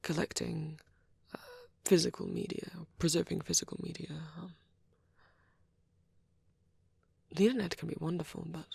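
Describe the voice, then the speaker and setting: Shy vampire boyfriend performed by a woman for ASMR roleplay: breathy, creaky, nervous and halting, very dry intimate recording. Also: on the low side, steady tone, dry recording, full character voice. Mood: earnest, rambling. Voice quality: soft, husky, breathy. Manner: hesitant, stammering, self-doubting. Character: shy, nervous young male vampire on a date.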